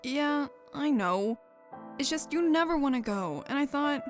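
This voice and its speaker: A woman voicing Silverstreak, a sullen, easily frustrated adolescent pegasus girl in an animated storybook drama. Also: high-pitched, unhurried, soft background music. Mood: tentative.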